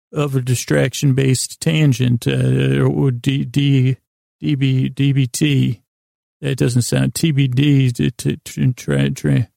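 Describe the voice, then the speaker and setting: A man with an American accent and a breathy, creaky voice hosts a sleep podcast, delivering a halting, meandering, whimsical bedtime monologue full of stammers and self-interruptions. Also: medium pitch, warm tone, no echo, studio quality.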